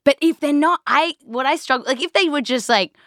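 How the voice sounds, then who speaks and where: A woman hosting a podcast, chatting casually with other hosts in a recording studio in a lively, joking way. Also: high voice, brisk, no echo. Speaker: podcast host. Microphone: studio microphone.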